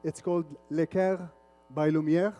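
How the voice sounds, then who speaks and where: A man's voice: on the high side, level tone, measured pace, warm tone, faint music behind. A middle-aged man, an Arabic speaker, giving an informal, interactive stage presentation. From Lebanon, grew up in Saudi Arabia. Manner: informal, switches between Arabic and English. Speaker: conference speaker, media executive.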